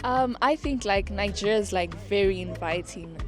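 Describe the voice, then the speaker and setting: A woman's voice: mid-range voice, normal speed, soft background music. A teenage girl answering interview questions earnestly, with many fillers. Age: teens.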